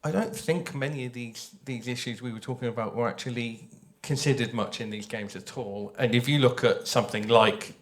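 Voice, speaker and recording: A man's voice: medium pitch, a little fast, few pauses. Man chatting informally on a panel. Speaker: audio drama writer on a panel. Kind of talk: informal panel talk to an audience.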